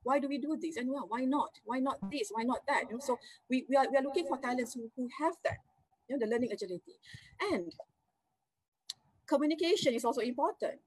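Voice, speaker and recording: A woman with a Malaysian accent, Malay her first language, answering interview questions as a company executive in an energetic, emphatic way, listing points and adding tag questions. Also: sits high, fast, slightly rough, low-quality recording. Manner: energetic, emphatic, lists points with rising tags.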